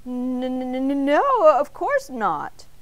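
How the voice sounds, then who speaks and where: A woman's voice: mid-range voice, big pitch swings, normal speed, natural voice. A woman voicing a flustered, well-meaning man in a children's story, sheepish and hesitant with a stammer. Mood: shocked.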